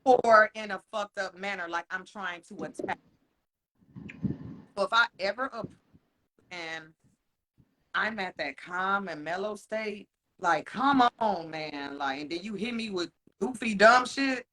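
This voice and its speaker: A man co-hosting a podcast in casual chat. He talks frankly and swears a lot, laughs often, and slows down to stress his points. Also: sits high, pushed and bright, low-quality recording. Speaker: podcast co-host.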